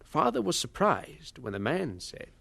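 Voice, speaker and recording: Earnest storytelling evangelist, dry sound. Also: male voice, fairly high pitch, huge pitch swings, a little slow, creaky, fairly bright tone, dry recording, full character voice. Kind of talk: scripted dramatic narration.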